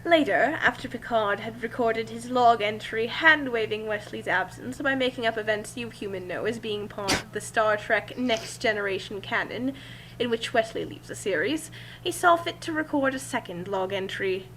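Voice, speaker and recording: A woman's voice: a little high, normal speed, a bit bright. A woman reading a first-person story aloud in a theatrical, emphatic manner. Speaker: reader of fan fiction. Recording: noisy amateur recording with hum.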